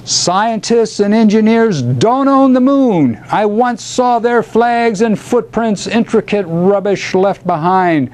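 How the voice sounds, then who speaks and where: An eccentric old male poet acted out by a man reciting, grandiose and defiant. The voice is pushed high and moves slowly, declaiming like an incantation in an epic poetry recital. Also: darkish tone. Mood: defiant, invoking.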